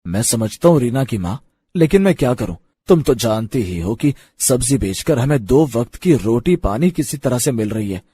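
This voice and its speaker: A man playing a poor, caring vegetable-seller father in a children's cartoon story, earnest and resigned, with a slightly husky voice. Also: medium pitch, animated, moderate pace, dark tone.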